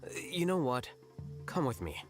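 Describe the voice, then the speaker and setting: A man voicing an aloof, coolly logical young scholar who speaks calmly and curtly in a video game. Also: medium pitch, normal speed, faint music behind, lightly acted. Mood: dry. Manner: dry, calm, curt.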